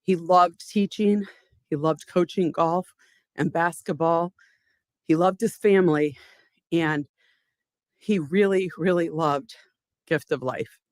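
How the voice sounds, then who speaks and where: An older woman speaking on her late husband's behalf at an awards gala, heartfelt and halting, with personal stories. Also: fairly low pitch, no echo, clean studio sound. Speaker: award recipient's widow accepting on his behalf. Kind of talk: emotional acceptance speech.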